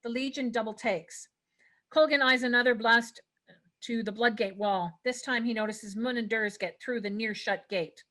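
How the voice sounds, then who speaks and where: A woman with a fairly high voice reads stage directions for a table read. It is scripted narration, with the occasional stumble over a word. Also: normal speed, fairly bright tone.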